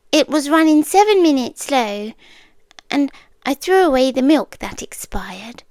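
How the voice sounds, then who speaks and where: A British woman playing a polite, obsessive yandere intruder in an ASMR roleplay, soft-spoken and often slipping into whispers, coolly teasing. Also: sits high, no echo, clean studio sound, heavily acted. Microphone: close microphone, dry.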